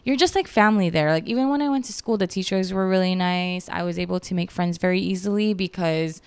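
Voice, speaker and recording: Filipino woman in her 30s just chatting on her podcast, bit of vocal fry, smooth clear voice, lots of likes and ums. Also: fairly low pitch, quick pace, a little mellow, dry recording. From Philippines. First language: Filipino language. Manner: chatty, lots of "like" and "um", laughs at herself. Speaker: podcast host. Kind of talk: casual solo podcast chat.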